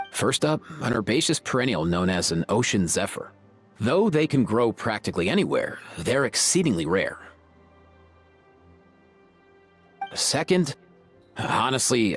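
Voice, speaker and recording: A man's voice: fairly low pitch, husky. A man voicing a laid-back young veterinarian in a video game, with an easygoing, slangy, friendly manner. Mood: thoughtful. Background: music.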